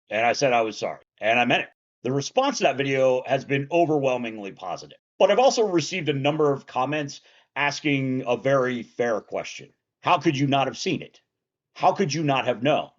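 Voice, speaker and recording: A man from South Central Pennsylvania giving earnest, measured, reflective commentary, his lines trailing off low at the end. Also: muffled recording. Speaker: commentator on law and politics.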